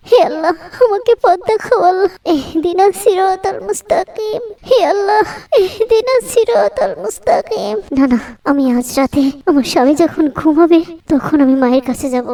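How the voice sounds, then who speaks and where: Woman acting a fretful pious young wife, very high voice, soft dark tone, pleading, cartoon melodrama, distant sound. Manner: pleading, self-questioning. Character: anxious, devout young wife fretting over her husband.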